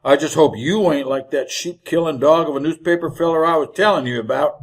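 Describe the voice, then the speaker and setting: An actor playing a garrulous, folksy wagon driver in a radio western, with an American Western accent and colorful rustic slang in a husky voice. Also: male voice, a little high, a little fast, lightly acted. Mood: chatty, amused. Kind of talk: old-time radio western acting.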